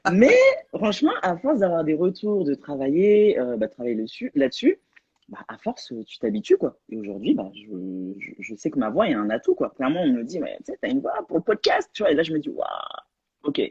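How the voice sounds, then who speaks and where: A woman's voice: low-pitched, very animated, a little fast, mellow, husky, muffled recording. A woman podcast host chatting casually and enthusiastically, laughing easily. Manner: enthusiastic, giggly. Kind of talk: casual chat on a live stream.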